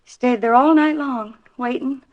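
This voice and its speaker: Actress as an anxious, high-voiced wife, old radio. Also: female voice, natural voice. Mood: anxious, insistent. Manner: hesitant, halting, earnest. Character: anxious, pleading wife of a fugitive ex-convict. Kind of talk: 1950s radio drama acting. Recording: dull vintage 1950s radio recording.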